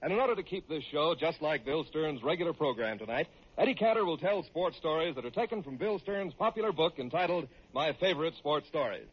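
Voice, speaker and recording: Husky American radio announcer on an old broadcast. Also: male voice, on the high side, expressive, brisk, dull audio. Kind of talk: old-time radio announcing and sponsor pitch.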